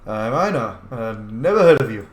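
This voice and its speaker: A man's voice: medium pitch, very animated, normal speed. A man casually role-playing his game character and commenting as he plays, with laid-back, understated reactions. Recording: echoey room, faint noise.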